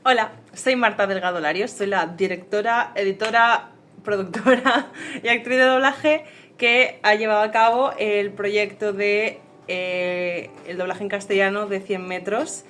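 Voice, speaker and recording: A woman's voice: medium pitch, steady tone, unhurried, a bit bright. A woman with a Castilian Spanish accent, a dubbing director giving an unscripted behind-the-scenes account. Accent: Castilian Spanish from Spain. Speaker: fandub director and voice actress.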